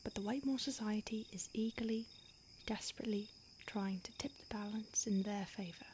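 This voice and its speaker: A woman voicing a starved, conflicted female vampire who stalks and craves her listener, speaking in a soft intimate whisper. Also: mid-range voice, fairly even intonation, a little fast, noisy background, close to an everyday voice. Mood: grim, confiding. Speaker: audio roleplay performer. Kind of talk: whispered audio roleplay.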